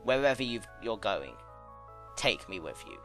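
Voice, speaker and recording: A man voicing an aging male creature of chaos, smug, weary and tender, with deliberate, emphatic delivery in a dramatic story reading. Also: lowish voice, sing-song, fairly bright tone, faint music behind. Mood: tender pleading. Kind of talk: dramatic fan-fiction reading.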